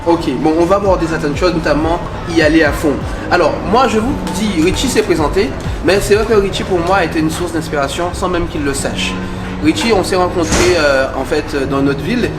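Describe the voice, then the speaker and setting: A man with a somewhat high-pitched voice hosts a conversational interview. His voice echoes in the room, and music plays clearly behind him. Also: brisk. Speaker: host of a video channel. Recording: roomy echo, music behind.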